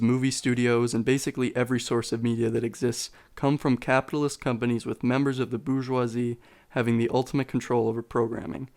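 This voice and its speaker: A man's voice: medium pitch, darkish tone. A man delivering scripted video essay commentary in an informal, sarcastic way.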